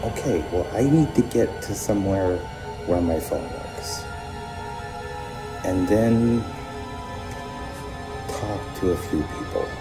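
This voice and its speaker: An actor playing a nervous, forgetful man who speaks haltingly in a naturalistic audio drama. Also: low voice, over music. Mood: anxious, earnest. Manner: hesitant, halting. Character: nervous forgetful reclusive man in mysterious house. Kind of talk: naturalistic fiction podcast acting.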